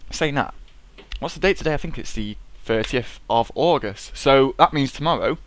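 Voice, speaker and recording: A man's voice: medium pitch, lively intonation, moderate pace, a little hiss or hum. Sniffly man rambling over gameplay. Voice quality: swears freely, rambling and chatty. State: sniffly with a cold. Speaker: gameplay commentator. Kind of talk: casual let's-play commentary.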